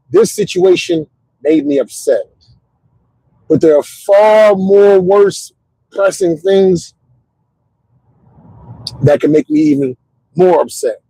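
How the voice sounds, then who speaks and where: A middle-aged man hosting a casual livestream, rambling in an animated, motivational way with lots of repeats and "you know what I'm saying". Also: a little high, slow, pauses often, husky, dry recording, rough recording. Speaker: host.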